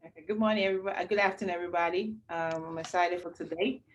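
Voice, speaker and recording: A woman from Ghana, a sergeant and army recruiter, explaining the requirements for joining in plain, halting speech with many fillers. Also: lowish voice, steady tone, highs cut off, slight background noise. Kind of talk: informational talk.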